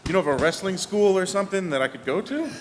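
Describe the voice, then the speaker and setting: A man plays a wrestling-mad man of twenty, higher-pitched and lively, stumbling eagerly over his words. A long hall reverb sits on the voice. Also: quick pace, slight background noise. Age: 20s. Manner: hesitant, eager. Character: earnest young man obsessed with wrestling.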